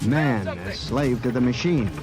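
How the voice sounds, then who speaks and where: A man's voice: mid-range voice, lively intonation, a little slow, natural voice. Man acting a haunted clerk in old radio drama. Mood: ominous, awed. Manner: grave, theatrical, intense. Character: haunted, desperate male clerk confessing his discovery. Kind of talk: 1950s radio drama acting, first-person narration. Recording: vintage radio broadcast with music behind.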